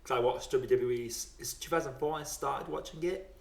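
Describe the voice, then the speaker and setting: A man's voice: mid-range voice, a little fast, gravelly. Echoey, chatty young British man. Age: 20s. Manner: chatty, jokey, laughs often while talking. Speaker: podcast host. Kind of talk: casual film chat. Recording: echoey room.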